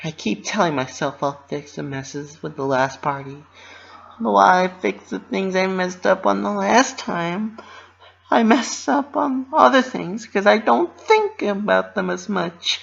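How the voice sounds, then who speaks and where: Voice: expressive, a little slow, vocal fry, fairly bright tone, lo-fi sound, heavily acted. A narrator voicing a young female cartoon pony, eager to please, bubbly and earnest, in a storybook reading. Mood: self-doubting. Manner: high-pitched, bubbly, earnest.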